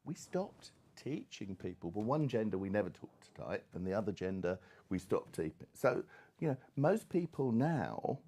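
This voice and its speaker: A man's voice: lowish voice, very animated, normal speed, mellow. A middle-aged man speaking as an expert panellist in a conversational, discursive way, with frequent asides.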